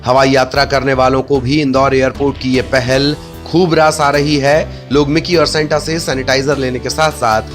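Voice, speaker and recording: A man reads scripted news features in a mid-pitched voice. Music is clearly audible behind the narration. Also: little pitch movement, moderate pace, hardly any pauses.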